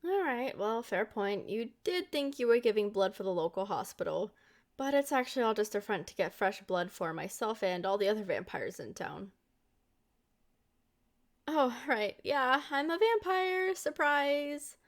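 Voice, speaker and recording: A woman playing a centuries-old but young-looking vampire girlfriend in an ASMR roleplay, talking sweetly and affectionately to the listener in an expressive way. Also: on the high side, measured pace. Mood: playful, explaining.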